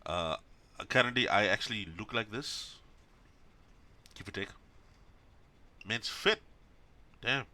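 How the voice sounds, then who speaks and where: A man hosting casual reaction commentary, loose and joking with quick exclamations. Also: lowish voice, animated, sharp tone. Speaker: host reacting to videos.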